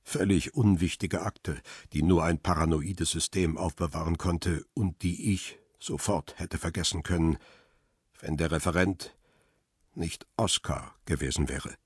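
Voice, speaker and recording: A very low, husky, brooding narrator. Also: male voice, a little slow, dry recording, clean studio sound. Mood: brooding, shaken. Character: bitter, betrayed male paparazzo narrating his own story. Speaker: first-person narrator. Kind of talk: radio crime drama narration.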